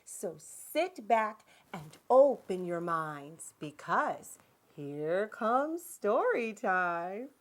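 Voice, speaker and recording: A woman's voice: mid-range voice, big pitch swings, extremely slow. A woman hosting a children's read-aloud show, talking straight to young viewers in a sing-song manner.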